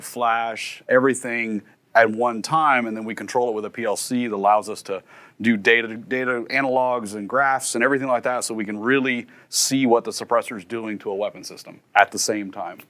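A man's voice: medium pitch, fairly bright tone. Matter-of-fact man explaining technical work. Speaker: company representative giving a factory tour. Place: indoors, sound-testing room and factory floor.

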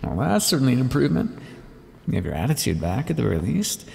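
A man playing a weary, guarded scientist captor in an intimate ASMR roleplay, speaking in a dry, brusque, halting manner. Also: mid-range voice, wildly swooping pitch, vocal fry, darkish tone, husky, exaggerated voice. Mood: wry, bitter. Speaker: audio roleplay performer. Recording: long reverberant echo.

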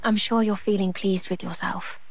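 A woman's voice: medium pitch, fairly even intonation, normal speed, gravelly, faint music behind. An actress playing a refined, haughty young female fighter in a video game, speaking formally with dry, long-suffering reproach. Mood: weary, reproachful. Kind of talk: video game cutscene acting.

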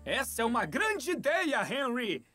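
Bossy cartoon jackal statue, high and bouncy, music under it. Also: female voice, sing-song, measured pace, bright, forceful tone, exaggerated voice. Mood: confident. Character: bossy, excitable Egyptian jackal statue come alive. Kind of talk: cartoon dub acting. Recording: music behind the voice.

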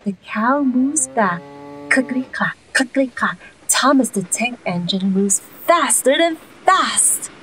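A woman reading a children's story aloud expressively, with sing-song, drawn-out emphasis. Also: a little high, animated, a bit bright, slight background noise, poor audio.

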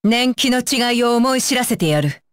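A high, dry-recorded young warrior woman from an anime fighting game, played by an actress. She is stern and scornful, with widely swinging pitch and a deliberate, commanding delivery. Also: animated, smooth, no room sound, close to an everyday voice. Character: fierce, disdainful young shinobi warrior woman, dutiful and stern. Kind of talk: Japanese anime video game battle voice lines.

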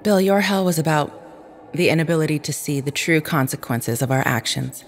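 A woman's voice: low-pitched, a little hiss or hum. Woman acting a cold, formal, unsparing otherworldly figure. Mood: solemn, resolute. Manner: measured, formal, precise diction. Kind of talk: audio drama acting.

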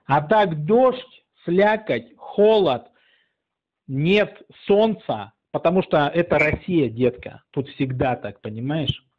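A man's voice: a little high, lively intonation, slow. A man in casual mocking phone chat, teasing and drawling as he strings along a caller. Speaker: channel author pranking a phone scammer. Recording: muffled lo-fi call recording.

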